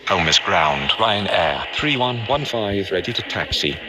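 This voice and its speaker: A man in a flight simulation game, reading clipped radio calls in a flat, even readback. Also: fairly low pitch, a little slow. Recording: heavy static over a radio channel.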